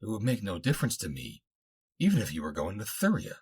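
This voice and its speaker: A man's voice: lowish voice, huge pitch swings, fast, a little mellow, breathy edge, exaggerated voice. A man voicing a devoted, emotional young woman freed from captivity, speaking fervently in formal, old-fashioned phrases for an adventure story. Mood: resolute devotion.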